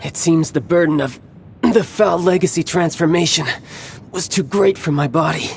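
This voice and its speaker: An actor playing a cocky, battle-hungry young villain in a video game cutscene, speaking in a measured, smug and theatrical way with formal phrasing. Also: male voice, fairly high pitch, vocal fry, soft background music. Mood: composed, intrigued.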